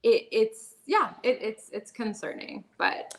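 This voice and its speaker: A woman's voice: a little high, expressive, slow. A woman who is a podcast guest, speaking in a reflective, casual way, with long winding sentences full of fillers.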